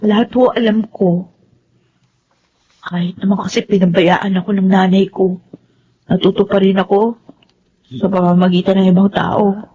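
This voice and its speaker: A woman's voice: medium pitch, fairly even intonation, moderate pace, long pauses, mellow, slightly rough, lo-fi sound, lightly acted. A woman playing a bitter, surly young housemaid and abandoned daughter in a Filipino melodrama, curt and accusing. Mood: curt, defensive. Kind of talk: Filipino radio-style melodrama acting.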